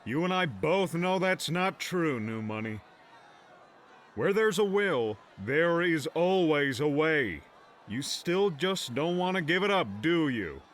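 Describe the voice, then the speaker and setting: A man's voice: fairly high pitch, big pitch swings, slow, fairly bright tone, a little hiss or hum, full character voice. An actor playing a smug, menacing older man who leads a mob, taunting and condescending, in an audiobook drama. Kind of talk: audiobook drama villain acting.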